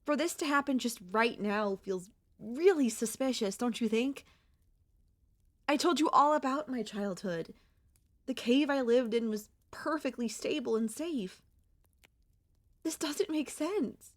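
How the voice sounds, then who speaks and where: A woman voicing a gentle, wistful and anxious young centipede monster girl in an ASMR audio roleplay, speaking softly and hesitantly in a conversational way. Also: a little high, lively intonation, unhurried, no echo. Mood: alarmed, suspicious.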